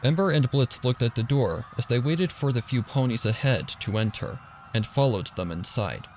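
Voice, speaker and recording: A man's voice: mid-range voice, moderate pace, slight background noise. A man narrating a story in a dramatic, suspenseful, theatrical scripted style. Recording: loud ambient sound effects over the voice.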